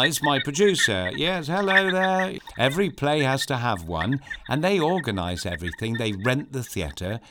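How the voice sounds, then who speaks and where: A man's voice: medium pitch, heavy background noise. A man hosting a children's story show, reading a script in a playful, theatrical and explanatory way. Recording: clear music behind voice.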